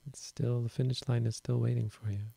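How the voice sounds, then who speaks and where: A man with a quiet voice giving a calm, slow Buddhist talk to an online audience. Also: mid-range voice, gravelly, breathy edge, no echo. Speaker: Buddhist teacher. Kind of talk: dharma talk.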